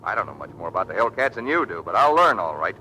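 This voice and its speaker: An actor playing an earnest, boyish young Navy rookie pilot, polite and eager, in old radio drama style. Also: male voice, fairly low pitch, very animated, quick pace, pushed and bright, exaggerated voice. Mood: excited, bashful. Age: young adult. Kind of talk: 1940s radio acting. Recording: faintly noisy vintage radio recording.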